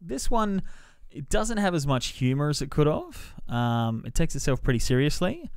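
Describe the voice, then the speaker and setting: A man co-hosting a podcast, casually giving his film opinions in an offhand, measured way. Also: fairly high pitch, very animated, darkish tone, smooth, no echo, studio quality. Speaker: film reviewer and podcast co-host.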